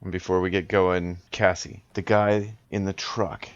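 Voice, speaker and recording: A man with a very low voice, playing in a tabletop roleplaying game. He improvises in character and chats about the game. The home recording sounds a little muffled. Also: little pitch movement, unhurried.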